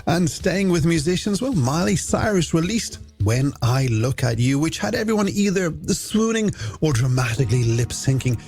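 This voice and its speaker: Irish male night-time radio presenter, higher-pitched voice, animated swoops, chatty and companionable, music underneath. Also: normal speed. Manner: chatty, companionable patter addressed to listeners. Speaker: radio host. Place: radio studio. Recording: music bed behind the voice.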